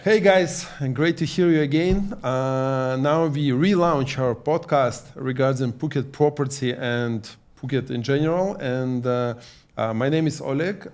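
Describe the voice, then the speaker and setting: A man hosting a podcast interview in a studio, speaking in a halting way with many fillers and tag questions. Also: mid-range voice, a little mellow, clean tone. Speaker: podcast host. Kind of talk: casual interview.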